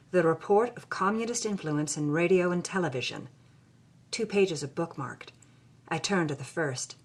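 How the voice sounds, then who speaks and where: An actress playing a reflective granddaughter who reads a journal aloud with dry, wry irony in an audio drama. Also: female voice, on the low side.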